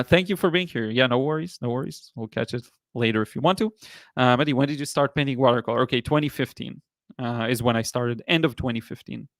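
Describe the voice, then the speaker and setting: A man in his thirties with an Israeli accent, hosting a painting livestream and answering viewer comments in a relaxed, halting way with many fillers. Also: medium pitch, creaky, no echo, studio quality. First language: Hebrew. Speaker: watercolor artist and livestream host. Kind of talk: casual livestream chat reading comments.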